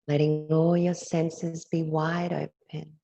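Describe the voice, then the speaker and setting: A woman with a low, gravelly voice leads a guided meditation. She speaks softly and soothingly as a teacher, lingering between phrases. The recording is close and dry, though slightly dull. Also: level tone, no echo. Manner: gentle, unhurried, with long pauses between phrases. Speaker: yoga nidra meditation teacher.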